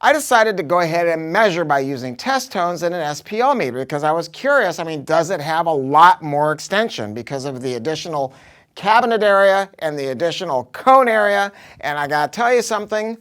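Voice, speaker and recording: A man's voice: on the high side, big pitch swings, a little fast, fairly bright tone, smooth. A man giving a casual product review, talking informally and emphatically with some salty language.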